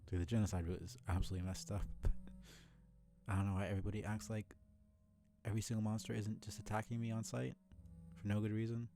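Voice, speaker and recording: A man's voice: fairly low pitch, level tone, brisk, over music. A man giving casual, blunt live commentary as he streams a game, grumbling as he goes.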